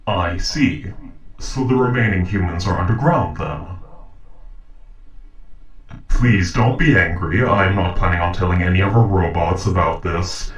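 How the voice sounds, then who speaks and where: A man playing a calm, logical sentient robot in an audio roleplay, speaking in formal, measured, precise sentences. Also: low voice, roomy sound, far from the mic, rough recording. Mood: calm, reassuring. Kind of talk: dramatic audio roleplay acting.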